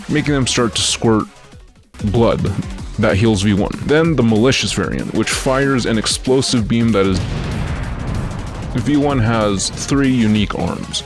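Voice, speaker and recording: A man narrates a scripted explainer video in an informal, conversational way, with casual asides. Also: on the low side. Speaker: video creator narrating an analysis.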